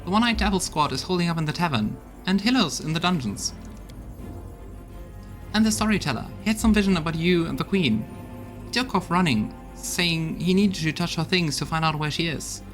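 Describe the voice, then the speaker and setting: A man voicing a rough-tongued, loyal crusade soldier in a fantasy game, talking in a blunt, folksy, gossipy way. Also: on the high side, lively intonation, brisk, faint music behind, lightly acted. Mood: urgent reporting. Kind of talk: voiced fantasy role-playing game dialogue.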